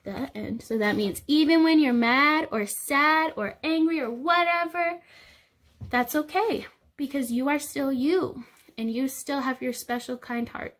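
A young woman reading a picture book aloud to small children, expressive and sing-song, explaining things simply as a teacher would. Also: high-pitched. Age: young adult. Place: small indoor room.

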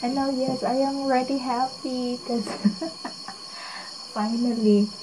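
A woman's voice: high voice, steady tone, slow, slight background noise, rough recording. A young woman vlogging in casual, upbeat chat from an outdoor hilltop campsite, with a tendency to repeat herself. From Philippines. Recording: loud crickets and insects behind.

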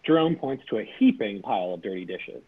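A man's voice: fairly low pitch, very animated, fairly quick, slightly rough. A man reading the stage directions aloud at a table read. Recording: tinny phone-like recording.

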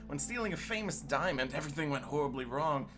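Man, twenties, animated intonation, playful mock-dramatic gamer chat, guest co-player, music clearly in background. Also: a little high, fast. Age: 20s. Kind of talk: casual gameplay chat. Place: indoor streaming setup, seated. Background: game music.

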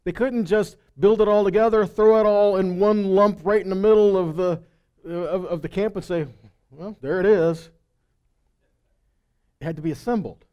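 High-pitched middle-aged male preacher. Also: steady tone, normal speed, darkish tone, clear, resonant tone, lo-fi sound. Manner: conversational, checking in with listeners. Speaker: preacher and Bible teacher. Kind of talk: live sermon teaching. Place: indoor stage in a meeting room or hall. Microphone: headset microphone.

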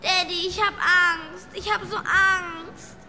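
A woman's voice: very high voice, steady tone, slow, pushed and bright, full character voice. A female performer playing a frightened, pleading little girl in a radio horror drama, plaintive and whimpering. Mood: pleading, scared.

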